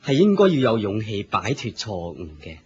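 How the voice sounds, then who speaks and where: A man with a Hong Kong Cantonese accent playing a wise, authoritative spiritual teacher, measured and didactic, in a radio drama. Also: fairly low pitch, very animated, a little mellow, slightly rough, exaggerated voice. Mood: calm, instructive. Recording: muffled lo-fi radio drama recording.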